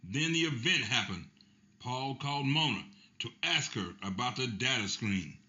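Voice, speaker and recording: Bright-toned male narrator, matter-of-fact. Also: medium pitch, animated, normal speed. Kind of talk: scripted narration. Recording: dull lo-fi, faint music.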